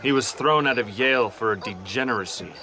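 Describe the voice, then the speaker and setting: An actor playing an officious government lawman in a video game, brisk and commanding. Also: medium pitch, music in the background, lightly acted. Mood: eager, businesslike. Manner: brusque, commanding. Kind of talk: video game dialogue acting.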